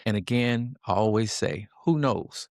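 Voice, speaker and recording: A man's voice: fairly low pitch, a little slow, dry recording, clean studio sound. A man hosting an interview podcast, upbeat and encouraging, laughing along with his guest. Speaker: podcast host.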